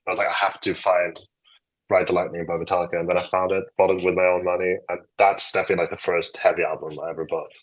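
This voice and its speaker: A man's voice: low-pitched, level tone, fast, low-quality recording. A Norwegian man, a guitarist guest with a Norwegian accent, chatting casually and rambling with many fillers and restarts. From Norway. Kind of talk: casual chat.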